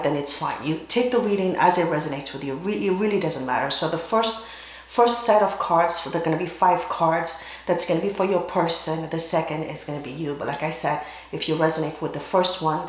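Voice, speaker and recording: Woman, hoarse voice, tarot reading, throat-clearing, very echoey room. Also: low-pitched. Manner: halting, clears throat and coughs often. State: hoarse, losing her voice. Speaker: tarot reader. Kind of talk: pick-a-card tarot reading. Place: indoors at a table with candles and crystals.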